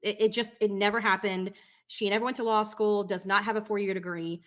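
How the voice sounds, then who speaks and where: A woman's voice: mid-range voice, steady tone, fairly quick, fairly bright tone. A woman giving casual explanatory commentary, going through claims in a skeptical, methodical way. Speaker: commentator fact-checking a clip.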